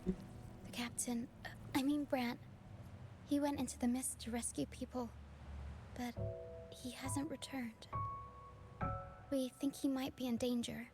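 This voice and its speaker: High-voiced plucky girl performer over music. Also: level tone, measured pace, long pauses. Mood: worried. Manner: theatrical, earnest. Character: cheerful brave young girl circus performer with fireworks pistol. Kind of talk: scripted video game voice acting. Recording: game audio with loud music.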